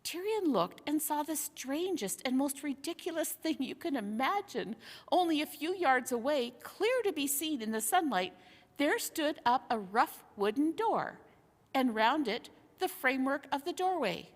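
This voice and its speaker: A woman reads a children's story with expressive, deliberate phrasing. Her voice sits a little high and moves at a slow pace. It rings with long hall reverb. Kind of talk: expressive children's book narration.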